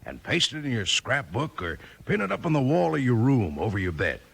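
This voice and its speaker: An American man announcing a 1950s children's radio commercial with an emphatic sales pitch. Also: wildly swooping pitch, fairly quick, gravelly, a bit bright, breathy edge.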